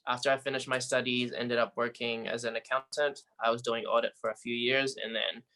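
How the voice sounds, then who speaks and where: A man's voice: medium pitch, level tone, brisk, pushed and bright. A man from the Philippines, a podcast guest talking in an easygoing, rambling way over a remote call. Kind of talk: casual interview chat. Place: home in quarantine, remote.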